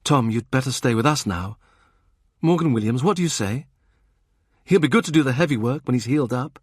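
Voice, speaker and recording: Brisk, caring young tavern woman, put on by a male narrator, voice lifted, husky, dry close sound. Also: medium pitch, animated, no echo, pitched above the performer's own voice, lightly acted. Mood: coaxing.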